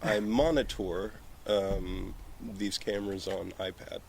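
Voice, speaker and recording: A man's voice: low voice, steady tone, slow pace, vocal fry, a little hiss or hum. A man in casual conversation as a podcast guest talking about film work, slightly slurring his words, recorded outdoors on a porch. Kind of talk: casual chat.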